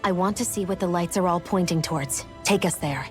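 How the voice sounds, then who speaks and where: A woman's voice: lowish voice, brisk, faint music behind, close to an everyday voice. An actress playing a cool, blunt, skeptical young female fighter in a video game. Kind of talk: video game cutscene acting.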